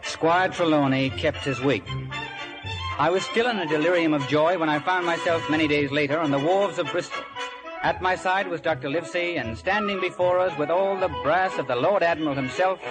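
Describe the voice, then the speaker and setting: A man's voice: on the high side, a little fast, close to an everyday voice, low-quality recording. An actor playing a storyteller who narrates his boyhood sea adventure in scripted first person. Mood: storytelling. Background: music.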